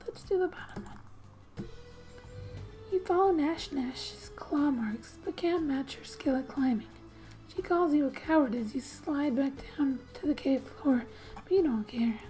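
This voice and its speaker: A soft-spoken woman reading game text aloud in a gentle, hushed ASMR style with musing asides, often slipping into a whisper. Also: high-pitched, unhurried, soft background music, poor audio. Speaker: gaming ASMR host.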